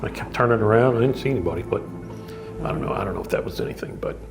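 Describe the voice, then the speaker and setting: A man's voice: fairly low pitch, rapid-fire, gravelly, faint music behind. A man, a paranormal investigator, earnestly recounting strange experiences in an interview. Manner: earnest, matter-of-fact storytelling. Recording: echoey room.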